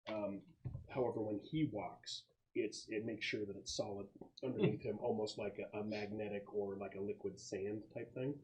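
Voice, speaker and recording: A man working as game master, describing scenes and answering questions in a halting, explanatory way during a tabletop game. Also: on the low side, steady tone, a little mellow, rough recording.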